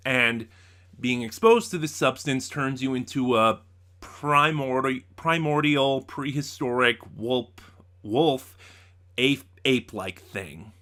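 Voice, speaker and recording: Bright-voiced man, rambling casual reviewer. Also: medium pitch, slow pace. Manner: rambling, over-explaining, with asides and self-corrections. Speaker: host of a review channel. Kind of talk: casual unscripted review talk to camera.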